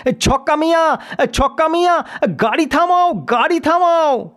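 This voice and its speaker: A man's voice: very high voice, lively intonation, a little slow, voice pushed up, exaggerated voice. A man playing a frightened young nephew who calls out pleadingly in an audio horror story. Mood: panicked shouting. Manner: shouting, pleading. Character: frightened young nephew calling out to his uncle.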